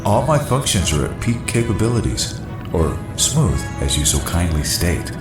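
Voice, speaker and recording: An actor playing a formal, literal-minded, self-important artificial intelligence aboard a spaceship in a comedy audio drama. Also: male voice, low voice, sing-song, normal speed. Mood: stiff, proud. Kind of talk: full-cast sci-fi comedy audio drama. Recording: roomy echo, music underneath.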